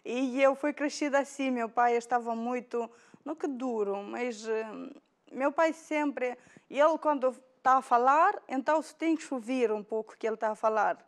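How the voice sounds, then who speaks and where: A woman in her thirties with a Moldovan accent, a guest in a TV studio interview, speaking haltingly and searching for words, recorded on a lapel mic. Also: fairly high pitch. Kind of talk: casual interview chat. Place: bright TV studio, indoors.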